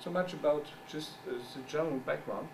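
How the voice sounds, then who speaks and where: A middle-aged man whose first language is German gives a conference talk as a journal editor. He speaks English haltingly, searches for words, and makes wry asides. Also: a little high, vocal fry. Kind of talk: conference presentation. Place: conference room. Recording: distant mic with background noise.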